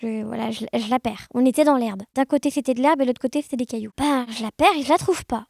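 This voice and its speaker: A woman chatting casually as an interview guest, telling anecdotes in an animated, conversational way. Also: a little high, quick pace, gravelly, no echo, clean studio sound. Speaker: podcast guest, blind guide dog user sharing experiences.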